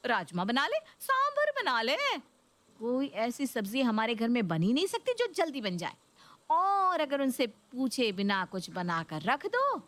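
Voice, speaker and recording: A woman's voice: fairly high pitch, dry recording. A woman voicing an exasperated, pleading young working daughter-in-law in a Hindi cartoon story. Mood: venting.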